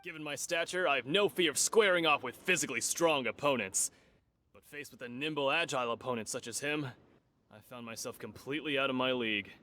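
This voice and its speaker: Man playing a wordy, bright-voiced martial artist. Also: medium pitch, fairly quick, natural voice. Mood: courteous, admiring. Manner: effusive, wordy, formal phrasing. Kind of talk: video game character acting. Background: game music.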